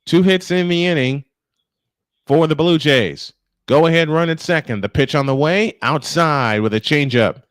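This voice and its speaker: A man's voice: fairly high pitch, moderate pace, no echo, clean studio sound. A man calling live baseball play-by-play as a sportscaster. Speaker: play-by-play commentator on a live stream.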